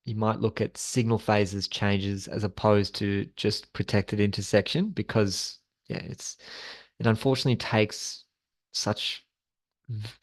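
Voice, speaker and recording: A man presenting a slide-based training session as an instructor, explaining things in an informal, conversational way. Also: on the low side.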